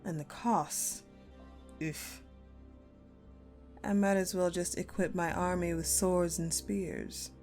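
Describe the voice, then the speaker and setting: A woman playing a cold, sadistic female general in a dark audio roleplay, speaking deliberately with mocking condescension and dramatic pauses. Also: lowish voice, fairly even intonation, soft background music. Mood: cool, calculating. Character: sadistic, coldly menacing ice-queen general, commanding and mockingly sweet. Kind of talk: dark audio roleplay acting, addressed to the listener.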